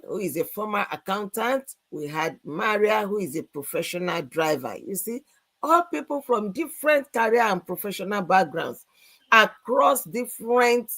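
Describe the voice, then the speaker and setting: A woman's voice: mid-range voice, animated, unhurried, a bit bright. A woman, co-hosting a live business chat and introducing panelists in an enthusiastic, promotional way. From Nigeria. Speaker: co-host.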